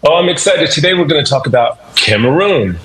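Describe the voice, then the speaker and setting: A man's voice: fairly high pitch, expressive, fairly quick, fairly bright tone, breathy edge. A man working as a French teacher, explaining things conversationally in an encouraging, instructive way, with noticeable background noise. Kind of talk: conversational language lesson.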